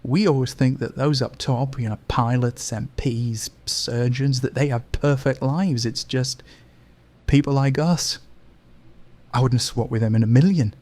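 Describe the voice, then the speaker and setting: A man's voice: medium pitch, unhurried, darkish tone, close to an everyday voice. An actor playing a worried young man who muses hesitantly to a friend. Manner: musing, hesitant. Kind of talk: audio drama acting.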